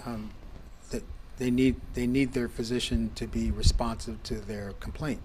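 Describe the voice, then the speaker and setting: A man speaking as a doctor in casual chat, measured and hesitant with frequent ums. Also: medium pitch, fairly even intonation, darkish tone, slight background noise.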